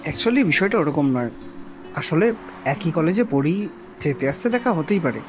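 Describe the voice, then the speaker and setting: A man's voice: fairly high pitch, big pitch swings, moderate pace. A man acting a polite, easygoing college student who tells his own love story in an audio drama, mixing in English phrases. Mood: friendly, apologetic. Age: young adult. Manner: casual, mixes English phrases into speech. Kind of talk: Bengali audio story acting and first-person narration. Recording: music under the voice.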